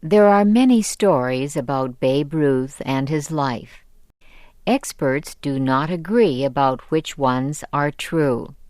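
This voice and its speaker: Female narrator, American accent, low register. Unhurried, slow educational read with careful, measured diction. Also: a little mellow, no echo, studio quality. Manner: measured, careful enunciation for English learners.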